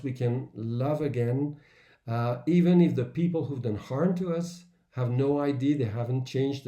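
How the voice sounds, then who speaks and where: A man giving an earnest, measured public talk with personal anecdotes, in broken phrases with pauses. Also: medium pitch, dark tone. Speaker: author and workshop speaker. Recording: roomy, echoing sound.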